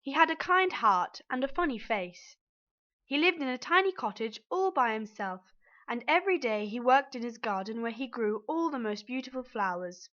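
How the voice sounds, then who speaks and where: A woman's voice: a little high, expressive, normal speed, fairly bright tone, dull audio. A woman reading a scripted fairy tale aloud as the storyteller.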